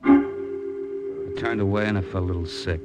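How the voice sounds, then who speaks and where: Low-voiced hard-boiled American adventurer. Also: male voice, measured pace. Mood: sardonic. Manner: wry, clipped, slangy. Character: cynical, tough-talking American adventurer, hard-boiled narrator. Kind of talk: 1950s radio drama acting, hard-boiled narration. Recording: old radio recording with music behind.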